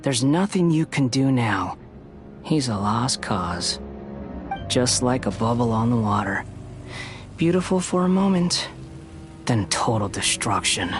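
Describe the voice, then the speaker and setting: Sly male villain, taunting, grandiose, theatrical, video game acting, medium pitch, background music. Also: big pitch swings, unhurried, long pauses. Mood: smug, menacing. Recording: music under the voice.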